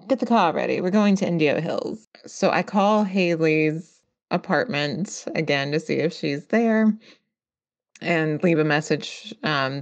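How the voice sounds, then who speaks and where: A woman's voice: sits low, dull audio. A woman playing a tabletop roleplaying game, chatting and improvising in a playful, mock-grand way. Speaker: player in actual play podcast.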